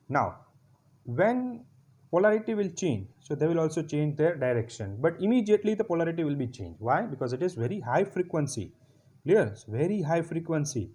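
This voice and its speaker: A man giving a step-by-step physiotherapy lecture over slides, often pausing to ask if it is clear. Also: a little high, a little mellow.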